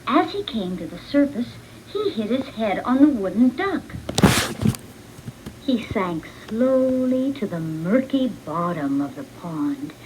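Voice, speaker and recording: Female storyteller for children's read-along, very animated and unhurried, noisy recording. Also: mid-range voice. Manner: expressive, deliberate read-along delivery. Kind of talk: scripted children's storybook narration.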